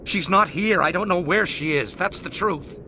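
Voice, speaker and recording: A man's voice: high-pitched, normal speed, background noise, natural voice. An actor voicing a cowardly male crook in a video game, panicky and pleading, stammering as he begs. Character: cowardly male warehouse worker and crime boss. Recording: game audio with loud score behind.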